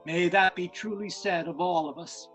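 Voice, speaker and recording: High-voiced storybook narrator. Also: male voice, fairly even intonation, a bit bright, faint music behind. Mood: earnest, uplifting. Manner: measured, earnest storytelling. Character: warm storybook narrator closing a Christmas tale. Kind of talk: scripted dramatic narration.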